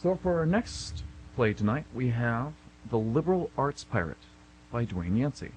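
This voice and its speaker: A man's voice: mid-range voice, very animated, moderate pace, a little mellow, slight background noise, rough recording. A man narrating and announcing for an amateur radio theatre show.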